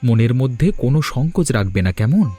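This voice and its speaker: A man playing a mellow, consoling young husband. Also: mid-range voice, expressive, quick pace, faint music behind, natural voice. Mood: reassuring. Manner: consoling, earnest. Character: caring young husband, calm and reassuring younger son. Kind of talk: cartoon voice acting.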